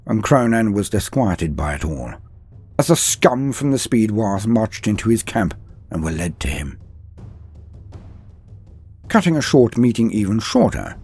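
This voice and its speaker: A man's voice: mid-range voice, darkish tone, husky. A man narrating a comedic mock-epic story with archaic phrasing and joking asides.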